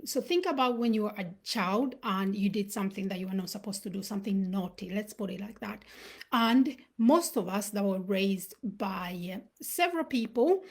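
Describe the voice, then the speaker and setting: A woman who was born in Uganda and raised in Sweden, hosting a live talk on self-awareness and telling personal stories in a reflective, unhurried way. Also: medium pitch, a little mellow. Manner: reflective, storytelling with pauses. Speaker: host.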